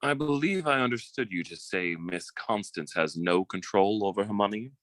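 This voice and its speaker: A man playing a smooth-talking, scheming suitor in an old-time radio melodrama, with a suave, theatrical and formal manner. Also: lowish voice, normal speed, fairly bright tone, no room sound, natural voice. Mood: calculating.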